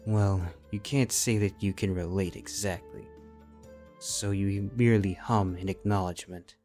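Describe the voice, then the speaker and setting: A man's voice: deep voice, steady tone, unhurried, a little mellow, soft background music. A man reading scripted second-person fantasy fiction as the narrator.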